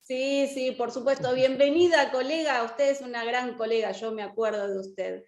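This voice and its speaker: A woman's voice: high voice, steady tone, normal speed. An Argentine woman teaching an interactive online class in an encouraging way, checking understanding with short questions. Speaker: course teacher and lawyer. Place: indoor room, online video class. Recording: echoey room.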